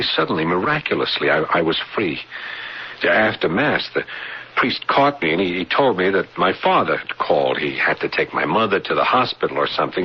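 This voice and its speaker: An actor in a radio drama playing a haunted man who recounts a frightening boyhood memory in halting, stammering phrases, on a noisy vintage broadcast. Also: low voice, breathy edge, dull audio, full character voice. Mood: reflective. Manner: halting, stammering, repeating words.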